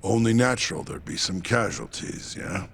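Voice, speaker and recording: An actor playing a cynical, tough underworld henchman in a video game, nonchalant and gravelly. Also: fairly low pitch, moderate pace, a little hiss or hum. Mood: cynical, unbothered. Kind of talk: English video game cutscene acting.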